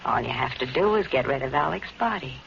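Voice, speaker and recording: A cool, ruthless femme fatale in a 1940s radio thriller. Her coaxing, insinuating voice slides widely in pitch. The old broadcast is muffled, with a faint hiss. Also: lively intonation, fast talker, vocal fry, fairly bright tone, heavily acted. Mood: coaxing, insistent. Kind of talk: 1940s radio noir acting.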